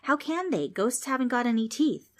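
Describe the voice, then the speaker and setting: A woman's voice: fairly high pitch, sing-song, normal speed, voice pushed up. A woman voicing a blunt, practical young girl in a storybook. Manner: blunt, matter-of-fact.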